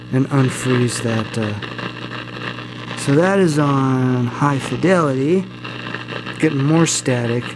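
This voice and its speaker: A man talking casually and informally as he gives a hands-on demonstration, rambling a little and self-deprecating. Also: mid-range voice. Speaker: hobbyist video host. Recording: steady mains hum and room noise. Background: electrical hum and faint static.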